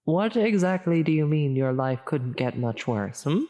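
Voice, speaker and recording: A woman voicing a grandiose female demon in an ASMR audio roleplay, theatrical and formal, with halting delivery. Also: low voice, lively intonation, warm tone. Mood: puzzled, thrown off. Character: menacing female demon, grandiose, increasingly flustered and concerned. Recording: long cavernous reverb.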